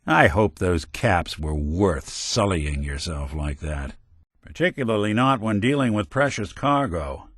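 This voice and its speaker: A man's voice: sits low, expressive, measured pace, a bit bright, breathy edge, no room sound, heavily acted. An actor playing a world-weary male synth detective in a video game, delivering dry, sardonic quips in a noir drawl. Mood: wry. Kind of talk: video game companion voice lines. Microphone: close studio mic.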